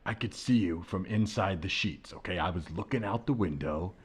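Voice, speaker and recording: A man playing a jealous, exasperated husband in improvised comedy, halting and insistent as he pleads. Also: deep voice, husky. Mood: uncomfortable, accusing. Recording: podcast with faint background noise.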